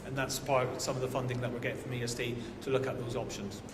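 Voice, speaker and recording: A man's voice: medium pitch, level tone, fast talker. A man answering audience questions at a conference, hesitant with many fillers. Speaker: housing association manager answering questions. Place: conference hall. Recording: echoey hall with audible noise.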